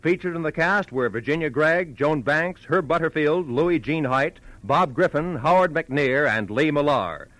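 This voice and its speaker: A man reading scripted credits as a 1940s radio announcer, formal and measured. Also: on the high side, animated, fairly bright tone, no room sound, poor audio.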